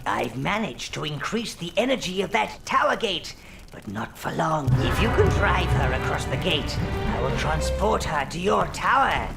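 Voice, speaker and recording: An actor playing a sly, darkly gleeful old minion advisor in a game cutscene, with a gravelly, creaky voice and a wheedling manner. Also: male voice, on the high side, very animated, moderate pace. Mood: scheming. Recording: voice over loud game music.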